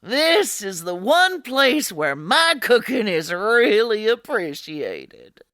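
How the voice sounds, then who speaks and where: A woman's voice: mid-range voice, very animated, slow, a bit bright, dry recording, clean studio sound. A woman playing a kindly old Gold Rush cook with folksy, rustic frontier speech in a radio-style audio drama. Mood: earnest.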